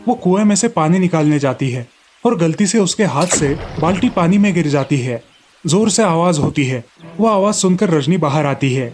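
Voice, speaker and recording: A man's voice: a little high, animated, normal speed, a little mellow, a little hiss or hum. A man storyteller reading scripted narration for a children's moral tale.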